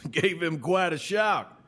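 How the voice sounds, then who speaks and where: A man's voice: on the high side, lively intonation, slow, fairly bright tone, exaggerated voice. Brash Southern salesman, big swooping delivery. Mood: sly, needling. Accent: American South. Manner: folksy, colourful drawling idioms, needling. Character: brash, folksy Southern salesman, sly and aggrieved. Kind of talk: scripted audio drama acting.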